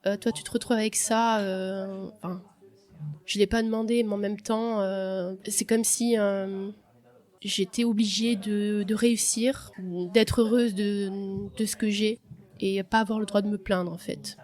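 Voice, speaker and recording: A woman's voice: medium pitch, fairly even intonation, a little slow, a little mellow. Hesitant young woman sharing memories. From France. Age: young adult. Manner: reflective, hesitant, many fillers and restarts. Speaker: podcast guest. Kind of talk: personal testimony.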